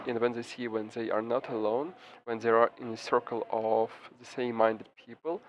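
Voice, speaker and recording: Male simultaneous interpreter, mid pitch, stop-start delivery, slightly dull sound, faint hiss. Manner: halting, frequent restarts and fillers. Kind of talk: live interpretation of a press briefing. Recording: slightly muffled with faint background noise.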